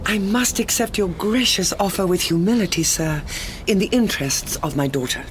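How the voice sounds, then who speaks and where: A woman's voice: mid-range voice, lively intonation, moderate pace, lightly acted. Noble mother, female actor, mysterious and imperious. Formal, grave, theatrical gothic period delivery; noticeable background noise. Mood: reluctant, gracious. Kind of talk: gothic period audio drama acting. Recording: clearly audible background noise and ambience.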